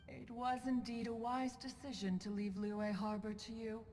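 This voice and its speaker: A woman's voice: a little high, little pitch movement, a little slow, darkish tone, long reverb tail, a little hiss or hum, lightly acted. A woman playing a proud, doting immortal elder in a video game, with formal, eloquent and lofty speech. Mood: composed, caring. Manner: formal, lofty, calls herself "one". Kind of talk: video game cutscene voice acting.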